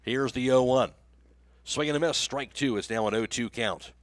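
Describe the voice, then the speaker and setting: A man's voice: medium pitch, moderate pace, no echo. A man with an American accent doing live radio play-by-play commentary of a baseball game as the announcer.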